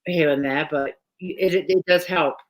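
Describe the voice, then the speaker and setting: A woman's voice: deep voice, fairly even intonation, moderate pace. A woman with a British accent hosting a casual live author chat from home, talking chattily and laughing often. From Britain. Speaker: host.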